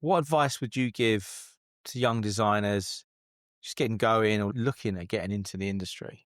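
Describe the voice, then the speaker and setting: A man's voice: fairly low pitch, moderate pace, fairly bright tone, no room sound. Guy hosting an interview, friendly questions, dry close sound. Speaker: podcast host, interviewer. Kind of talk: casual interview conversation. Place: recorded in a workspace studio in London.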